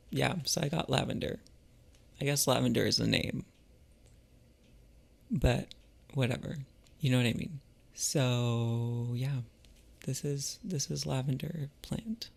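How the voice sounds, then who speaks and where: A man chatting casually and reflectively to viewers as a vlogger, in a halting, musing way with trailing pauses. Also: mid-range voice, creaky. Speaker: vlogger and artist.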